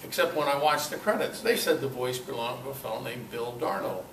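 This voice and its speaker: An older man giving an informal club talk, halting often and adding conversational asides. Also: mid-range voice, animated, fairly bright tone. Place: club meeting room. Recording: echoey noisy room, distant mic.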